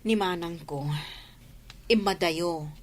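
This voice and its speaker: A woman's voice: on the low side, slow pace. A woman with a Filipino accent playing a humble, struggling village mother in an Ilocano comedy radio drama. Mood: resigned.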